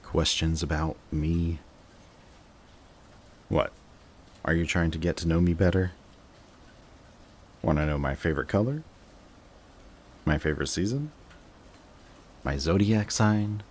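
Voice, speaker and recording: A man's voice: low-pitched, a little slow, long pauses, a little mellow, slight background noise. A man playing a sarcastic, jaded supervillain in a scripted roleplay audio drama, with a dry, taunting way of talking to an old rival. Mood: annoyed, sarcastic.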